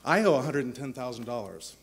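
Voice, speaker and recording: A man's voice: medium pitch, lively intonation, quick pace, slightly rough. A middle-aged man in an audience asks a rambling, apologetic question into a handheld mic in a campus lecture hall. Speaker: audience member asking a question.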